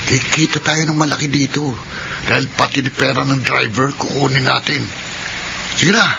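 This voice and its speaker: A dull, noisy radio drama recording of a young Filipino street crook played by a man. He is brash, scheming and slangy, with a gravelly creak. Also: medium pitch, fairly even intonation, moderate pace, lightly acted.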